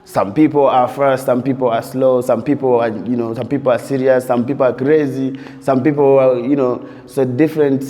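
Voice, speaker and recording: A man's voice: mid-range voice, flat delivery, moderate pace, hardly any pauses, mellow. A man from Uganda, a DJ and producer reflecting in an interview, telling his story loosely and leaning on "you know" throughout. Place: interview set while on tour abroad. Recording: long reverb.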